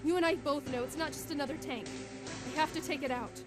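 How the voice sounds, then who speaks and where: Woman playing a young soldier, high voice, plucky, defiant, wry, radio drama, clear music underneath. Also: fast talker, natural voice. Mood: urgent.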